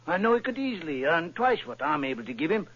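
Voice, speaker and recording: A chatty, rambling pawnbroker. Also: male voice, a little high, big pitch swings, fast talker, fairly bright tone. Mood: telling his story. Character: Jabez Wilson, talkative, simple middle-aged pawnbroker. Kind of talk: old-time radio drama. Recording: dull lo-fi vintage radio recording.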